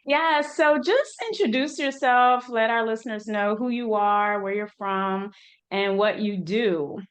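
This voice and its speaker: A woman's voice: fairly high pitch, measured pace. A woman hosting a podcast, speaking in a casual, conversational interview style.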